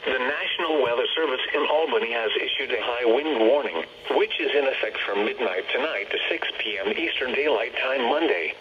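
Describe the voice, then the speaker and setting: Dull, noisy radio weather announcer, male. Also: medium pitch. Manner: formal, measured delivery. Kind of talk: scripted weather warning bulletin. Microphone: camera held near radio speaker.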